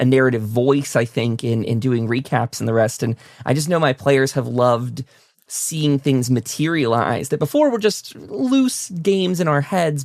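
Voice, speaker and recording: A man's voice: mid-range voice, darkish tone, no echo, clean studio sound. A man hosting a casual interview, talking in an effusive, wordy way. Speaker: talk show host interviewing a guest. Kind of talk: casual enthusiastic interview chat.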